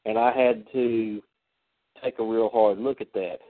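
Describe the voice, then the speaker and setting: A man hosting a spiritual discussion, animated and acting out the voices he quotes. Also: lowish voice, a little slow, no echo. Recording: dry phone line.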